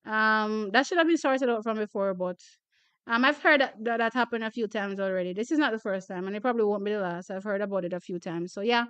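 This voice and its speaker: A woman's voice: mid-range voice, fast, fairly bright tone, clear, resonant tone, no room sound, dull audio. A young woman from Jamaica hosting a track and field channel, chatting casually with viewers, laughing often and repeating herself. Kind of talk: casual chat reading viewer comments.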